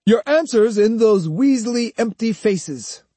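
A man's voice: high voice, expressive, unhurried, darkish tone, no room sound, pitched above the performer's own voice. A man voicing an arrogant, sneering master swordsman who lectures haughtily with rhetorical questions in an audiobook story.

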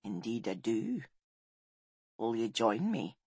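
A woman's voice: rumbling low, big pitch swings, takes it slowly, a little mellow, breathy edge, no room sound, voice pushed down, full character voice. A woman voicing a roguish, teasing clansman husband with a wry, cajoling manner, in an audiobook.

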